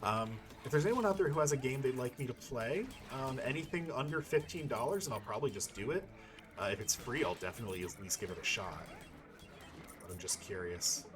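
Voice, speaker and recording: A man's voice: mid-range voice, animated, brisk. A man doing casual let's-play commentary, thinking aloud in a rambling, playful and sometimes profane way. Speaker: gaming YouTuber playing and commenting.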